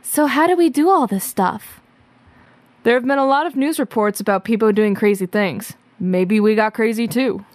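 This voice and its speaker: A woman's voice: a little high, normal speed, no room sound, natural voice. A woman playing a blunt, commanding schoolgirl superhero sister in a radio drama. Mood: incredulous.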